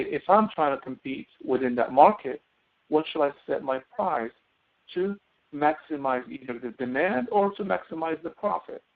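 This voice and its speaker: Male instructor, creaky mid-pitched voice, step-by-step tutorial delivery, thin telephone-quality sound. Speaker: course instructor. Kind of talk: live spoken software tutorial. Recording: narrow phone-like audio.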